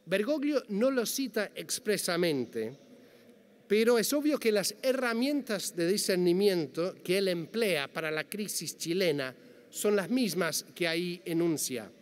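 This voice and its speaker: A man giving a prepared, measured talk as a panel speaker, reading carefully and quoting passages, speaking Spanish as a to a conference audience. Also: high-pitched, expressive. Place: large conference hall. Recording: long hall reverb, faint background noise.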